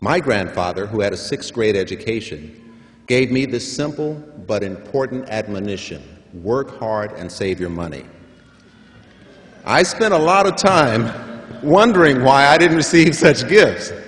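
An older man delivering a formal commencement address, measured and emphatic, building up to stressed points. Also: mid-range voice, lively intonation. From San Francisco. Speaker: commencement speaker. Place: large hall at a graduation ceremony. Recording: long hall reverb.

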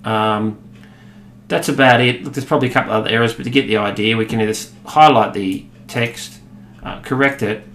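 A man in his thirties with an Australian accent, explaining a software demo in a relaxed way, with many fillers. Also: mid-range voice, a little fast. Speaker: product demonstrator. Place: office room, indoors. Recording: echoey room with faint ambience.

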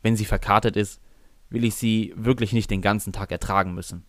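A man's voice: on the low side, fairly even intonation, a little fast, no echo, clean studio sound. A man reading a scripted first-person narration for a fan fiction audio drama.

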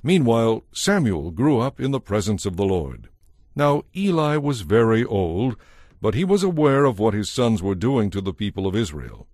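A man narrating a dramatized audio Bible as a scripted story, measured and solemn. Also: on the low side, sing-song, darkish tone, dry recording, clean studio sound.

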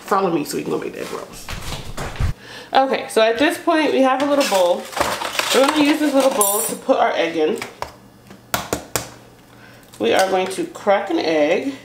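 High-voiced American woman in an echoey kitchen. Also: sing-song, normal speed, long pauses. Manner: informal, unscripted, thinking aloud. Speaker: home cook vlogger. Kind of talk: casual chat while cooking. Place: home kitchen, indoors. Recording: echoey kitchen, noisy stove sizzle. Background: sizzling pan, clicks, stirring.

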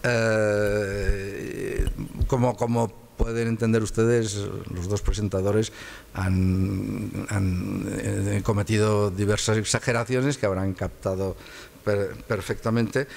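A man's voice: lowish voice, gravelly, darkish tone. An older man from Catalonia giving a conversational public lecture as a guest speaker, often repeating words as he searches for his phrasing. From Catalonia, Spain.

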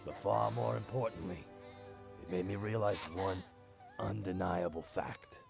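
A man playing a gruff, aging superhero mentor in a comedic anime parody, swinging between grand theatrical speech and blunt exasperated asides. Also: deep voice, measured pace, over music, heavily acted. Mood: solemn, blunt.

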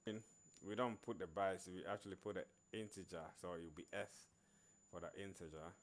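A man narrating an informal programming screencast in a halting way, repeating words as he works. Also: low voice. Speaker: programming tutorial presenter. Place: screen recording voice-over.

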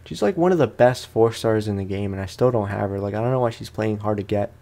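A man's voice: on the low side, fast talker, mellow. A man giving casual let's play commentary on a video game, chatting to viewers in slangy language. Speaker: gaming YouTuber.

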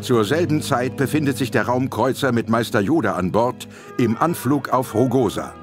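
A man's voice: mid-range voice, lively intonation, moderate pace. A man narrating an audio drama in a dramatic scripted style. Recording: music behind the voice. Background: prominent music.

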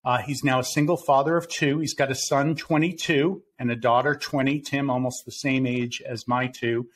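A middle-aged man hosts a podcast interview from a room at home over a video call. His delivery is earnest and measured. A faint hiss sits under the call audio. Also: mid-range voice, steady tone. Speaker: podcast host.

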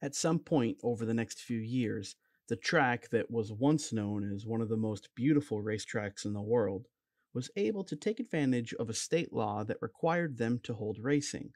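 Male voice-over narrator, mid-pitched and even, reading a history script in a dead-dry booth. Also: normal speed, no room sound. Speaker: documentary narrator.

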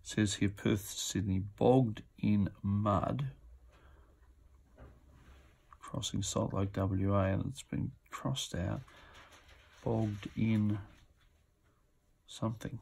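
A man's voice: fairly low pitch, steady tone, slow, creaky, darkish tone, breathy edge. A man giving casual, off-the-cuff commentary as he presents auction items, musing to himself as he goes. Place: indoors at a table.

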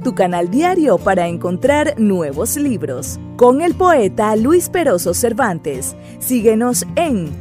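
Lady radio announcer, slow with big intonation, music clearly behind. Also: on the high side, very animated. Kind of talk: scripted radio jingle announcement.

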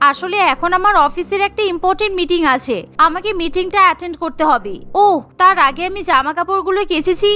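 A woman voicing a cheerful, stubborn young housewife in a cartoon story, chattering briskly and insistently. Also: very high-pitched, sharp tone. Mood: eager, reassuring. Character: busy, cheerful, stubborn young Bengali housewife and daughter-in-law.

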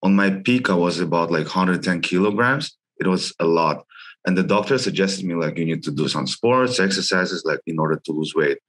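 A man's voice: low-pitched, normal speed, fairly bright tone. A young man from Russia and China, a guest on a video call, speaking casually with frequent "like" and loose non-native phrasing. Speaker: guest, gym member. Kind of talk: casual interview chat. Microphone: headset on video call.

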